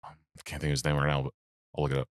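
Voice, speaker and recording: Super deep-voiced young guy, chill and jokey, trails off a lot, dry mic. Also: monotone, racing, smooth, no room sound. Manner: laid-back, joking, hesitant. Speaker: podcast co-host. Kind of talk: casual movie podcast chat.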